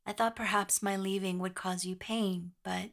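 A tender young woman in a radio play, gently earnest, with a middle-pitched voice. She speaks softly and in a measured way. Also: fairly even intonation, gravelly. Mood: wounded. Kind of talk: radio drama acting.

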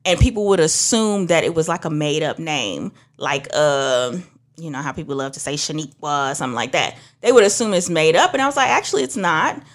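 A woman's voice: sits low, a little fast. A young woman from Trinidad, a minister, telling stories in casual conversation and acting out what others said. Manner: animated storytelling, acts out other voices. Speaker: minister and beauty professional.

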